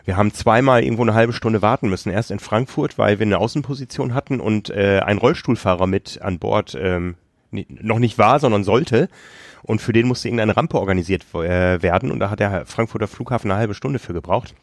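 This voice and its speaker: A man's voice: on the low side, brisk, no room sound. Guy on a podcast, kinda sick with a cough, rambling stories, dry close sound. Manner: chatty storyteller, laughs often. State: coughing, slightly ill. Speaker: podcast co-host. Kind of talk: casual chat. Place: hotel on a tropical island. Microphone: remote call.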